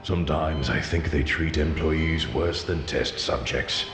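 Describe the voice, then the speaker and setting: Clinical scientist, extremely low pitch, dry delivery, long reverb, music behind. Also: male voice, measured pace. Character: dry, very deep-voiced male scientist in sinister facility.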